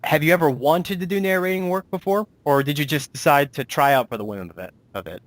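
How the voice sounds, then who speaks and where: A man's voice: on the high side, quick pace, dry recording. Casual man hosting a chat, dry sound. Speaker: interviewer, host. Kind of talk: casual chatty interview.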